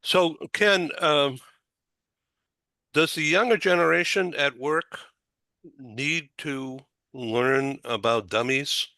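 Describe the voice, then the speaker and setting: Slow-talking older man, bright voice. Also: fairly high pitch, no room sound. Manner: slow, halting, questions with rising lift. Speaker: talk show host. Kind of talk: casual panel chat.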